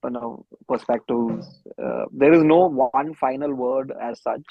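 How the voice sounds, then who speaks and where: A man with an Indian accent and a noticeably creaky, medium-pitched voice answers in a conversational interview. He is measured and matter-of-fact, pausing to find his phrasing. The sound is very dry and a little dull. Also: dry recording. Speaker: band musician being interviewed. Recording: dry but dull lo-fi audio.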